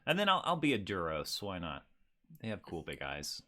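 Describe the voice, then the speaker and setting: A man's voice: sits low, huge pitch swings, moderate pace, sharp tone. A man hosting a podcast in a casual, laid-back and jokey way. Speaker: podcast host. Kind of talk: casual chat.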